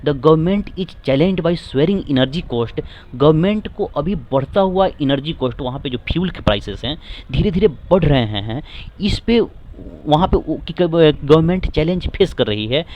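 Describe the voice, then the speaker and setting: A man with an Indian accent walks students through an editorial, stretching each English phrase slowly before explaining it. He spells tricky words out letter by letter in a patient classroom way. His voice sits a little higher than most men's. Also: animated, lo-fi sound. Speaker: English teacher.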